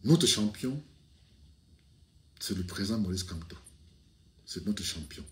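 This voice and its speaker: Outspoken low-voiced man talking to camera. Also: measured pace. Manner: outspoken, rising to animated outbursts, many restarts and fillers. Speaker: video commentator. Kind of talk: casual political commentary to camera. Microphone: camera, speaking to it directly.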